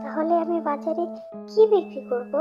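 Woman doing a worried cartoon animal mom, real high voice, kinda muffled audio w music. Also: moderate pace, close to an everyday voice. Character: anxious, hard-working mother animal who sells fruit. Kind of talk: Bengali fairy-tale cartoon dubbing. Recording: dull lo-fi audio with music behind.

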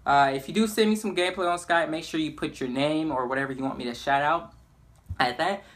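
A man's voice: a little high, fairly quick. A young man chatting casually and informally in a rambling storytelling style, as a gaming YouTuber. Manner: informal, rambling, acts out quotes excitedly. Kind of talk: casual storytelling over gameplay.

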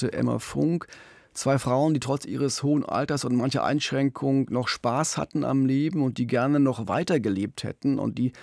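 A middle-aged man recounts crime cases as a podcast host. His voice has a gravelly edge at an ordinary male pitch. He speaks close to a studio mic in a dry, echo-free room. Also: a little mellow. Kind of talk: conversational true-crime storytelling. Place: small radio studio. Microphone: close studio microphone with pop filter, headphones.

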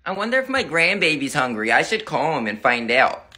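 A man's voice: on the high side, big pitch swings, a little fast, pushed and bright, heavily acted. Man playing a bossy, doting grandma. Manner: blunt, commanding. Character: bossy, doting grandmother who scolds her daughter. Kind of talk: exaggerated family comedy skit.

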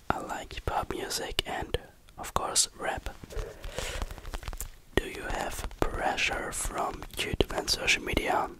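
Slow, whispering ASMR creator. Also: rough recording. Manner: halting, searching for words in non-native English. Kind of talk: whispered ASMR question-and-answer. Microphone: close microphone.

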